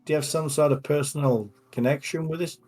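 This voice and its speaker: A man's voice: a little high, steady tone, brisk, darkish tone, soft background music. A man playing a tabletop game, speaking in an earnest, reassuring way in character. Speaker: tabletop player. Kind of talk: in-character roleplay.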